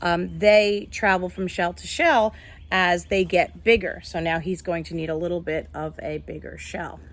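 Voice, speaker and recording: A woman's voice: lowish voice, fairly even intonation, moderate pace. A woman teacher reading a picture book aloud to young children, instructing and explaining simply as she goes. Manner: instructive, addresses young listeners with simple questions.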